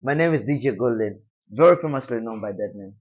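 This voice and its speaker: Soft-spoken young Tanzanian man. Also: mid-range voice, animated, fast talker, muffled recording. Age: young adult. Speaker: guest, DJ. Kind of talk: casual interview chat.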